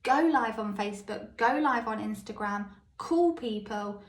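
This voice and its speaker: A woman with a high, light voice hosting a solo self-help podcast. She tells personal stories and keeps checking in with the listener. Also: lively intonation, unhurried. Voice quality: high, light voice that others called squeaky. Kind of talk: solo motivational podcast monologue. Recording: echoey room.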